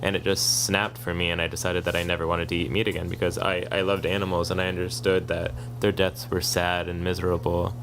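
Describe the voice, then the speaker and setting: A man co-hosting a podcast, talking at length in a monotone, argumentative way in casual chat. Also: low-pitched, fairly quick, few pauses, background noise. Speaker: podcast co-host, activist. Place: kava bar.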